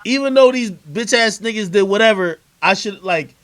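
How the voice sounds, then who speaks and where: A man's voice: high voice, normal speed, a bit bright. A man hosting a casual, heated livestream interview, talking in profane street slang and insistently repeating his points. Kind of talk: casual heated argument on a stream.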